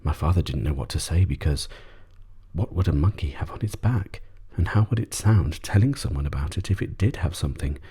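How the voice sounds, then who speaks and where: A man softly narrating a children's audiobook in a measured ASMR bedtime style. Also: a bass voice, lively intonation, breathy edge. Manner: soft, measured. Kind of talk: ASMR bedtime story reading.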